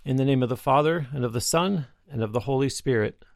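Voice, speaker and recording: A man's voice: medium pitch, fast, vocal fry, no echo, clean studio sound. A man hosting a faith radio show, affably wrapping up an interview and leading a prayer.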